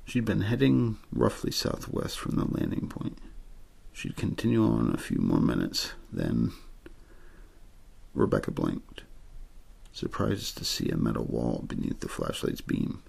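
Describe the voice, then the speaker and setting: A man reading a story in ASMR style, untheatrical and meant to lull listeners to sleep, with a low, gravelly, husky voice. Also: huge pitch swings, a little slow, a little mellow. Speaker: amateur story reader.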